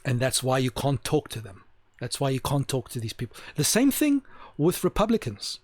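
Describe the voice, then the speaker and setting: A man's voice: mid-range voice, huge pitch swings, no room sound, clean studio sound. A man giving an opinionated solo monologue to camera, emphatic and repeating his points for stress. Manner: slows down to stress key words. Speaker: video host.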